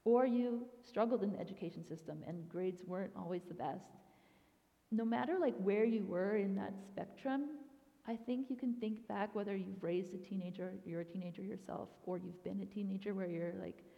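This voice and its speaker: A woman's voice: medium pitch, moderate pace, vocal fry, in a large hall, dull audio. A young woman, a youth ministry director raised in Thailand, giving a chatty guest talk at a church with frequent "um" and "like.". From grew up in Thailand.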